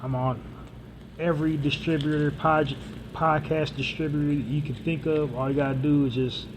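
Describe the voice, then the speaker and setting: Rambling podcast host with traffic noise. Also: male voice, on the high side, fairly even intonation, moderate pace. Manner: rambling, casual, many fillers and restarts. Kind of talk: solo podcast monologue.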